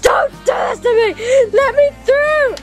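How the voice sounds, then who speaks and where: A woman's voice: extremely high pitch, a little fast, over music. A woman voicing a desperate hedgehog who pleads to save his brother, overwrought and repetitive, in an amateur fan drama. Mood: tearful, desperate.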